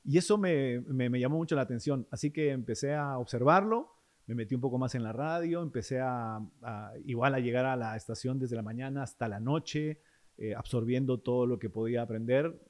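A middle-aged man from Peru with a highland Cusco accent, a radio host talking casually as an interview guest and telling his stories with energy. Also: a little fast. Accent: Peruvian, from the highlands around Cusco. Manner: animated storyteller who slips into put-on accents.

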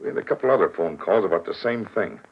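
An actor playing an uneasy, talkative henchman in a 1940s radio crime drama, husky and slangy. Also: lowish voice, expressive, fast talker, full character voice. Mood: worried. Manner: slangy tough-guy talk, questioning. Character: uneasy male gangster henchman, dim, talkative, deferring to boss. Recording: muffled old radio recording.

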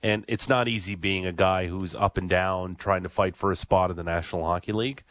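A man's voice: low voice, steady tone, a little fast, fairly bright tone, no echo, clean studio sound. A man hosting a sports radio talk show, leading the chat with questions in a conversational way. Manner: conversational, leads the discussion with questions.

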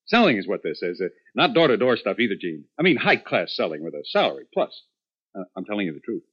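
Proud but ashamed joker husband, low husky voice, halting, self-deprecating, old dull radio recording. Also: male voice, sing-song. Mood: breezy, defensive. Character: feckless, joking husband, proud but ashamed, good-hearted. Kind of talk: 1950s radio drama acting.

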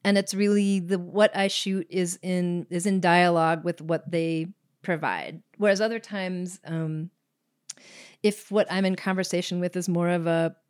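A woman's voice: on the low side, steady tone, unhurried, smooth, no echo, clean studio sound. A woman filmmaker answering interview questions reflectively, searching thoughtfully for her words. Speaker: filmmaker guest.

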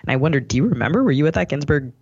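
A man's voice: fairly high pitch, lively intonation, very fast, a little mellow, breathy edge, no room sound. A man co-hosting a podcast, asking conversational questions in a discursive, personal way. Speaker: podcast co-host. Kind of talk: conversational interviewing. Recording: dull, slightly lo-fi sound.

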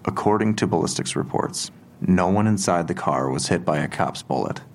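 Male investigator, audio drama: deep and gravelly, blunt, terse, skeptical, unshowy. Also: animated, a little hiss or hum. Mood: blunt, callous. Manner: terse, measured, matter-of-fact questioning. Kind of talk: naturalistic audio drama acting.

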